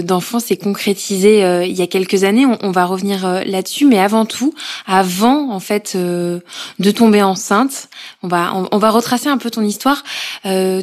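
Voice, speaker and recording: A French woman who hosts a podcast, reading a scripted intro and then asking questions gently with some hesitation. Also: medium pitch. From France. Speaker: podcast host and journalist.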